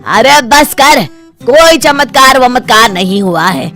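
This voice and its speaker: A woman voicing an exasperated middle-aged father in a story, speaking loudly and bluntly. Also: sits high, animated, slow, over music, pitched above the performer's own voice, full character voice. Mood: scolding. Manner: loud, blunt scolding.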